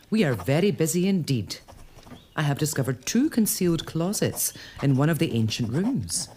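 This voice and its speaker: A woman's voice: rumbling low, warm tone, a little hiss or hum. An actress playing a curious, determined lady of a castle in a period audio drama, probing and earnest.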